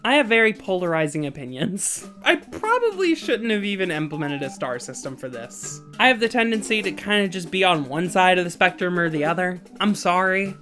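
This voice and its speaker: Female video essayist: bright, expressive, playful and opinionated, reading a script conversationally. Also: lowish voice, a little fast, hardly any pauses, faint music behind. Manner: opinionated, self-deprecating, playful, swears casually. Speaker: video game reviewer and narrator. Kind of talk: scripted video essay commentary with casual asides.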